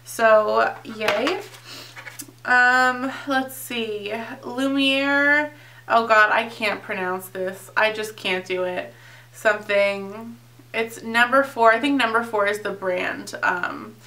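A woman's voice: mid-range voice, bright, forceful tone, distant mic. A young woman chatting casually and rambling through a beauty unboxing vlog, her voice a little off from getting over being sick. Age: young adult. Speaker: beauty vlogger. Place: indoors, small home filming set.

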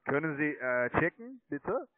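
A man's voice: a little high, sing-song, a little slow, no room sound. A man working as a telephone sales agent, speaking hesitantly in broken phrasing as a non-native speaker. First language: not German. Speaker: telemarketing caller, online trading account manager. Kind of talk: phone sales pitch. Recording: tinny narrowband phone line.

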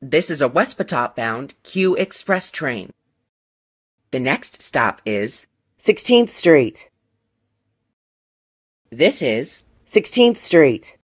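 Low, slow woman train announcer. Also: sing-song, breathy edge. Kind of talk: scripted transit announcement.

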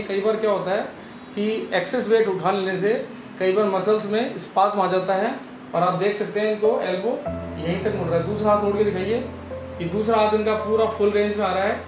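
A man in his thirties, a physiotherapist, calmly explaining exercises step by step in a clinic room, on a lapel mic. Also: high-pitched, a little fast, music in the background, poor audio. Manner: calm, instructive, explains step by step.

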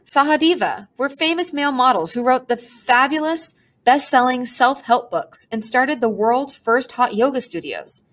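A woman's voice: high-pitched, fairly bright tone, pitched above the performer's own voice. A woman voicing a melodramatic, self-pitying talking pigeon with a pompous, theatrical manner. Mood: scornful. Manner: theatrical, sighing, haughty complaints.

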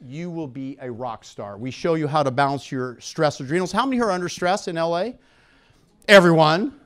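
Higher-pitched man giving a promotional seminar. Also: clean tone. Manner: animated, engages audience with questions and prompts. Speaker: expo speaker and product promoter. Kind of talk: promotional seminar talk to a live audience. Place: indoor expo conference room with seated audience. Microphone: handheld microphone.

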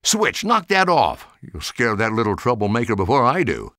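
A man's voice: mid-range voice, huge pitch swings, moderate pace, pushed and bright, no room sound, studio quality. Guy doing a kindly old toymaker for a kids story, teases a lot, really swoopy voice. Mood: teasing. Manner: chuckling, playful teasing.

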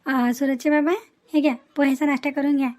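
A woman acts out a homely middle-aged mother from a cartoon family story. Her voice is high, with a Vidarbha Marathi accent, and she speaks in a gentle, reassuring way. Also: little pitch movement, quick pace, natural voice. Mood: contented. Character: soothing middle-aged mother, tender, placating wife. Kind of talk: cartoon family drama dialogue.

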